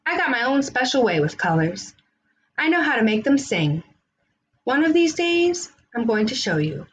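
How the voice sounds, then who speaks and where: Folksy, playful man, as a woman reads him, animated. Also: sits high, normal speed, rough recording. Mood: reassuring. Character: friendly, playful blind man who loves colors.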